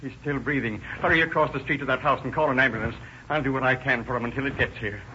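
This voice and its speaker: An actor playing an excitable, friendly American hardware store owner in a 1930s radio drama. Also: male voice, medium pitch, fast talker, lightly acted. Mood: urgent. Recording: very noisy, dull old radio recording.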